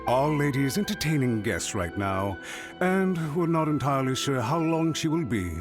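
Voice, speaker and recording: A man's voice: medium pitch, animated, moderate pace, music in the background, close to an everyday voice. A man playing a polite, deferential clan retainer in a video game, speaking formally. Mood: welcoming.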